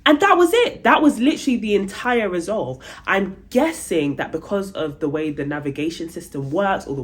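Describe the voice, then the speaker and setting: A young adult woman vlogging about her travels, telling a story casually and acting out the dialogue with big jumps in pitch. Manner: chatty, many fillers like "like" and "um".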